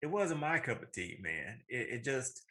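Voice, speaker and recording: A man's voice: mid-range voice, big pitch swings, moderate pace, pushed and bright. A man in his thirties co-hosting a casual show, talking informally and often circling back to repeat himself. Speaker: co-host of a movie and video game show. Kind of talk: casual conversational banter.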